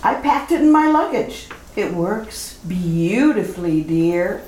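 Woman as a slow-talking, scheming femme fatale bride. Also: on the low side, very animated, dark tone. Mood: sugary, menacing. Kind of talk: retro radio mystery acting. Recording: roomy, distant, slightly noisy recording.

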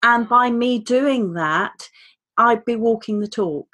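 A woman who works as a speaking trainer, talking in an articulate, measured way as a podcast guest. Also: fairly high pitch, pushed and bright. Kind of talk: conversational interview.

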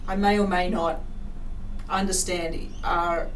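A woman's voice: on the low side, flat delivery, slow pace. A woman with an Australian accent hosting a casual interview, reflective and thinking aloud as she talks. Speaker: podcast host, university teacher. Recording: echoey room, distant mic, faint noise.

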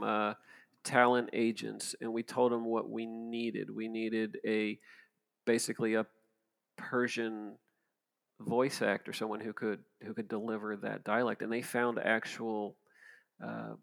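An American man, a game developer hosting a casual conversation, telling stories in an animated, wry way. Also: medium pitch, gravelly.

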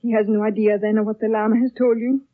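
An actress playing a gentle, earnest young woman with soft, deliberate speech, in 1930s radio drama style. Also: a little high, level tone, no echo, lightly acted. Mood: anxious, hushed. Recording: dry, very narrow old 1930s radio recording.

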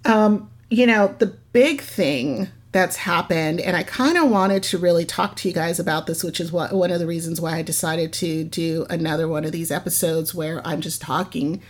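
Woman podcast host, scratchy voice, slightly low pitch, rambling casual monologue. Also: moderate pace, hardly any pauses. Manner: rambling, chatty, laughs at herself.

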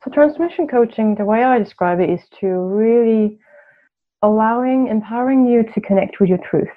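A woman's voice: fairly high pitch, a little fast. A woman from Turkey, a coach speaking as a podcast guest in a reflective way, with many "you know" fillers. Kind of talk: podcast interview. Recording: tinny phone-line audio.

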